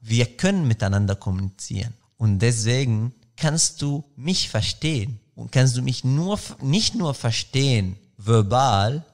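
A man's voice: mid-range voice, lively intonation, measured pace, few pauses. A man, hosting a solo podcast in an earnest, reflective way, often searching for words. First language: Arabic. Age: 30s. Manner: earnest, searching for words, many fillers and restarts. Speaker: podcast host.

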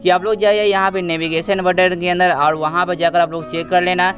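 A young man speaks in a distinctly high voice. He talks like a gaming channel host walking viewers through a tutorial, casual and repetitive, often calling them brother. Soft music plays underneath. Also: little pitch movement, brisk. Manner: repetitive, addresses listeners as brother. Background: background music.

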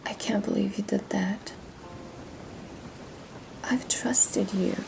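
A woman's voice: medium pitch, fairly even intonation, takes it slowly, gravelly, in a whisper, music in the background. A woman playing a proud, hurt female pirate captain in a dramatic audio roleplay, guarded and intense. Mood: hurt, whispering.

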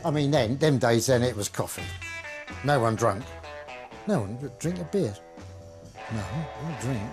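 A man's voice: mid-range voice, lively intonation, measured pace, music in the background. An older man, a former motorcyclist, reminiscing in an emphatic, plain-spoken way.

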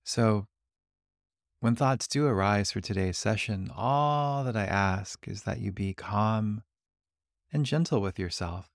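Male meditation guide: lowish, creaky voice, calm and gentle delivery, leaving long pauses between instructions. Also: dry recording, studio quality. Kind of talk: guided body scan meditation.

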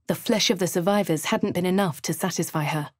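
An actress voicing a posh, haughty female adventurer with a posh English accent, speaking in a cool, measured way in game voice lines. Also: on the low side, no echo, studio quality, natural voice. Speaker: player character. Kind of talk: video game voice lines and narration.

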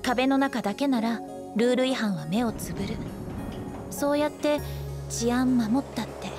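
A woman's voice: a little high, moderate pace, natural voice. An actress voicing a cheerful, playful young woman in a Japanese video game, with a breezy, teasing way of talking. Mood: explaining. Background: background music.

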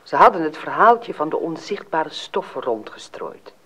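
A man narrating a fairy tale as a dramatic storyteller, with a husky voice. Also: a little high, big pitch swings, moderate pace, sharp tone.

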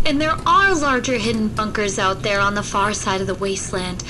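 An actress playing an earnest, determined young fighter in an audio drama, urgent and persuasive. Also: female voice, medium pitch, moderate pace, natural voice. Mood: pleading, resolute. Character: earnest, determined young female fighter pleading with her comrade. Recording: clear noise behind voice.

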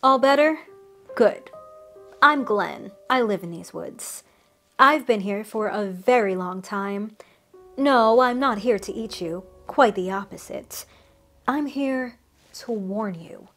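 A woman's voice: medium pitch, takes it slowly, a bit bright, soft background music. Woman voicing Glenn, a chatty friendly cryptid. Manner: chatty, reassuring, wry asides. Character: friendly rotting male woodland cryptid, chatty, ancient. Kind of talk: scripted storytelling monologue.

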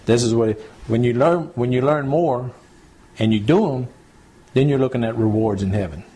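Mellow, husky pastor teaching. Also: male voice, medium pitch, normal speed, low-quality recording. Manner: conversational, repeats points, addresses group as y'all. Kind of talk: home church Bible teaching. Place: small home gathering, recorded to CD.

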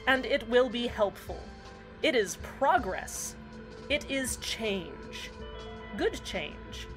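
A woman playing an eerie small-town community radio host in a scripted fiction podcast, deadpan and measured, with deliberate pauses. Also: on the high side. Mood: uneasily upbeat. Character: eerily cheerful female small-town community radio host, uneasily upbeat. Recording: music under the voice. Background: background music.

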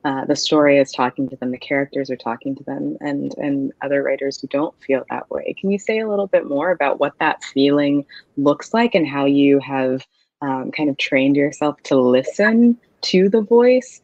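A woman's voice: a bass voice, normal speed, darkish tone, dry recording. A woman in her thirties hosting an author interview, speaking thoughtfully and measuredly with many "um"s over a video call from a home office. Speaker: interviewer, event host. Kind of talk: interview conversation. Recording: dull, dry video-call audio.

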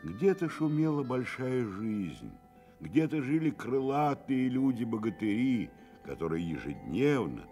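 A man's voice: on the low side, darkish tone. A man narrating a literary radio drama about a postwar village.

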